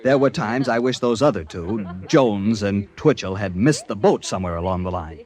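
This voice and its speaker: An actor playing a chatty, self-satisfied American store clerk on holiday, narrating a 1940s radio drama in a genial, prim way. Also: male voice, lowish voice, expressive, normal speed, a little mellow, close to an everyday voice. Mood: smug, genial.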